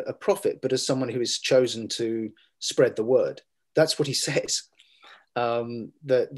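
A middle-aged man, a guest scholar, talking in a measured and halting way with many fillers and restarts, recorded over a video call from a room at home. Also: medium pitch, darkish tone. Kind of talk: academic discussion on a video call.

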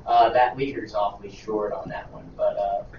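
A man's voice: lowish voice, flat delivery, normal speed, creaky, background noise. A man, a charter captain, casually describing the rod and reel he brought. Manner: casual, pointing out gear. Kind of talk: casual gear show-and-tell. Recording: echoey room, far from mic, dull.

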